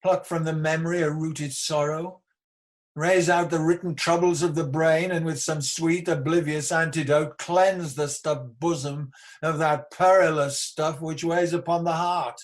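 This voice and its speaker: A man's voice: on the high side, fairly even intonation, close to an everyday voice. An amateur actor playing an ageing, embattled tyrant king in a Shakespearean radio play, declamatory, defiant and world-weary. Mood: agitated, commanding. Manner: declamatory, slow, theatrical.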